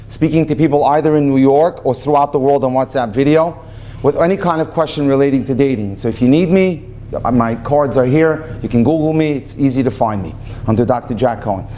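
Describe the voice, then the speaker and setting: A middle-aged man, a rabbi, giving a live talk to an audience on a handheld mic and telling jokes with lively punchlines. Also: medium pitch, brisk. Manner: animated storyteller, voices for punchlines. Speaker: rabbi and dating speaker. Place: event hall with audience.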